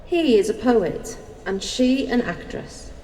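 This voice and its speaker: A woman's voice: on the high side, animated, takes it slowly, dark tone. An actress playing Life personified in an audio drama, a passionate, scheming woman with a dramatic, imperious manner. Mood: bargaining. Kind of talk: theatrical audio drama acting. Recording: noisy, echoey hall with long reverb.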